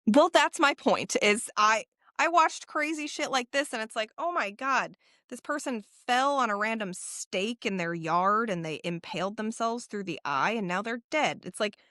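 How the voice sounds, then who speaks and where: Animated woman in her thirties, emphatic. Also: fairly high pitch, moderate pace, no echo, clean studio sound. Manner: emphatic, profane, philosophical musing in casual slang. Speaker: podcast co-host. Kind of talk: casual, rambling podcast chat.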